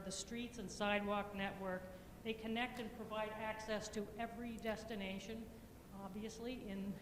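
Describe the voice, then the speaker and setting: Woman presenting in an echoey hall. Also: mid-range voice. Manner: self-described loud voice, halting with fillers. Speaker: planning consultant. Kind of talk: public meeting presentation. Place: municipal meeting room. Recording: echoey meeting hall with faint noise.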